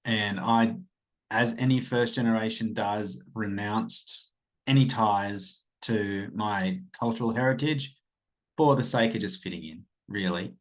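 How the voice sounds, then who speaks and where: A man's voice: on the low side, a little slow, low-quality recording. Rambling Australian man in his thirties. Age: 30s. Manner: hesitant, many ums and self-corrections. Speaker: podcast host. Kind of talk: rambling personal monologue.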